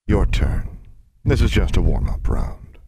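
A man voicing a menacing old male sphinx in an audiobook, growling and booming, with a taunting, domineering and theatrical manner. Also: expressive, measured pace, mellow, slightly rough, no echo, studio quality, exaggerated voice. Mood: taunting, smug. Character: menacing, mocking old male sphinx monster, riddle master. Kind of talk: audiobook character acting.

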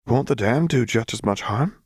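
A man voicing a clever, earnest young woman in an audiobook, soft-spoken and questioning. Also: mid-range voice, expressive, moderate pace, slightly rough, no room sound, clean studio sound, voice pushed up. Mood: resolute.

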